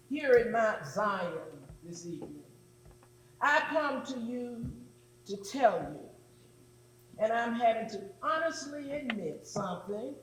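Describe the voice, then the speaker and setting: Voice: mid-range voice, roomy sound, low-quality recording. A performer playing a folksy, earnest church preacher, drawing out a staged sermon to the congregation. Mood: grave, confessing. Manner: slow, folksy, drawn-out exhortation. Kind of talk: staged sermon in readers theatre.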